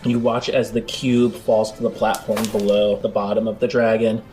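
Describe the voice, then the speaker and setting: A man's voice: lowish voice, little pitch movement, normal speed, darkish tone. Man narrating a tabletop game over music. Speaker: game master. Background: background music.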